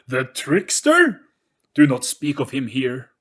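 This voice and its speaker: A man voicing a courteous, wistful male ghost guide with a dramatic, halting delivery in an audio play. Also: medium pitch, wildly swooping pitch, a bit bright, voice pushed up, heavily acted. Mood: alarmed.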